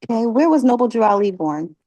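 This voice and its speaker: A woman leading a religious class, asking catechism questions and encouraging her students, often pausing with ums. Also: a little high, very animated, dark tone, dry recording. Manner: encouraging, halting with many ums.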